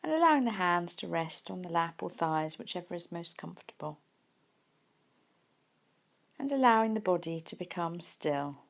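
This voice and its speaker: A woman leading a guided mindfulness meditation in a gentle, unhurried manner with soft pauses. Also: on the low side, big pitch swings, gravelly. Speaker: meditation teacher.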